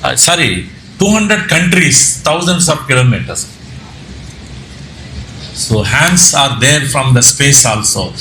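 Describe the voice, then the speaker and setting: A man with an Indian Telugu accent giving an informal slide presentation, speaking haltingly with repeated words and self-corrections. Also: mid-range voice, lively intonation. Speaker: presenter, amateur radio operator and trainer. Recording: echoey room with background noise.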